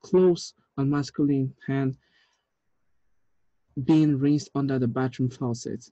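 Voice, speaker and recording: A man in his thirties reading screenplay directions aloud in a measured, deliberate way, with small stumbles. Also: medium pitch, steady tone, mellow, no room sound, highs cut off. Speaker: writer reading stage directions at a table read. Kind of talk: script narration, reading aloud. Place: indoor room, on a video call.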